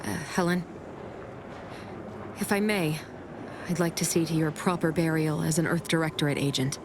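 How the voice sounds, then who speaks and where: A woman's voice: sits low, steady tone, moderate pace, lightly acted. An actress voicing a dutiful woman comrade in a video game, polite, hesitant and earnest. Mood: respectful, sorrowful. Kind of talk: cinematic video game acting. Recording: clear background ambient noise.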